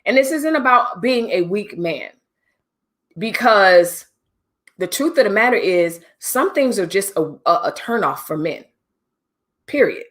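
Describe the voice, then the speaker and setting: A woman's voice: medium pitch, expressive, unhurried, long pauses, a bit bright. A middle-aged woman hosting a livestream, talking casually and directly to her viewers with preachy asides. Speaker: livestream host.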